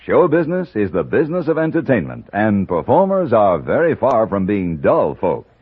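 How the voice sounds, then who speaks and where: Very animated vintage male radio announcer. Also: medium pitch, slightly rough. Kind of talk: scripted 1950s radio promo. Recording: tinny, narrow old radio broadcast.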